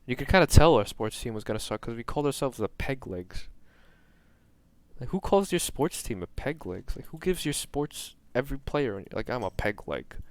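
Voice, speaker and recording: A man's voice: medium pitch, normal speed, no echo. A young man from New York giving casual, rambling commentary while streaming a game, self-mocking and drifting off-topic. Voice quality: monotone.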